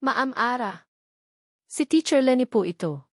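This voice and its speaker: Woman acting a panicked, higher-pitched Filipino schoolteacher. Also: lively intonation, unhurried, no room sound, studio quality. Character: panicked young female schoolteacher on the phone.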